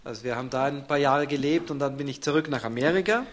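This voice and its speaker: A man's voice: mid-range voice, quick pace. A man interpreting a sermon live, turning each short phrase into a fuller sentence right after the speaker.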